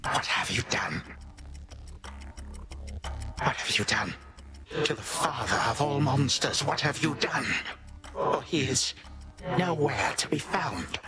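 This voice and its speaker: A man's voice: medium pitch, animated, slow, long pauses. An actor playing an ancient titan tyrant in a video game, pompous and sardonic, speaking in formal archaic phrases with menacing grandfatherly condescension. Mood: aghast. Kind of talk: theatrical video game villain acting. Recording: studio voice over game music.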